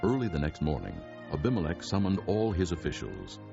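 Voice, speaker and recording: A man's voice: sits low, wildly swooping pitch, fairly quick, gravelly, muffled recording, music in the background. A man narrating a Bible dramatization in a solemn storytelling style.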